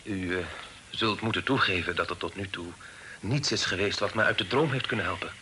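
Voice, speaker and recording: An actor playing an honest, dutiful young gentleman in a period radio drama, speaking formally and earnestly. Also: low-pitched, a little fast. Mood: earnest, principled. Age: young adult. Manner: earnest, formal, sometimes hesitant. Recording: noisy old radio drama recording.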